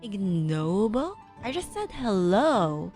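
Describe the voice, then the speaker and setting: Slow-talking woman doing playful gameplay commentary. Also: mid-range voice, very animated, darkish tone. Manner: playful, banters with game characters. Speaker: gaming streamer. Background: game music.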